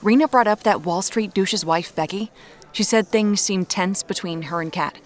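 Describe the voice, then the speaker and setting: A woman's voice: medium pitch, normal speed, natural voice. A woman playing a determined, dryly frank amateur detective in a naturalistic audio drama. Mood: thoughtful, probing. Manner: dry, frank, stubborn. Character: determined amateur woman detective hunting her sister's killer.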